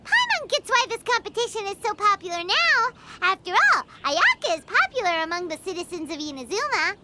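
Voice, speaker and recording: Teeny excitable fairy girl for a game, super high squeaky kid voice, really animated. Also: brisk, heavy background noise. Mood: excited. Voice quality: squeaky, childlike. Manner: exclaiming, refers to herself by name. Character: tiny excitable childlike female fairy companion, curious, cheerful. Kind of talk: video game voice acting. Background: game music.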